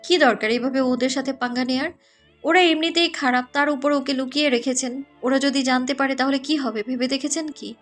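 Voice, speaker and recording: A woman's voice: sits high, brisk, soft background music, close to an everyday voice. A woman playing a pert, nosy young female college student in an audio story, with a questioning manner. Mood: worried. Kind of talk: dramatized audio story acting.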